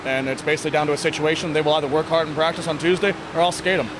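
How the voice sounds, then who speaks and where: A man in his thirties, a sports coach giving a candid, casual post-game interview in a plain-spoken, halting way with repeated words. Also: fairly high pitch, flat delivery. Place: indoor sports hall, blue block walls. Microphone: handheld interview microphone. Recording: noisy venue with background ambience.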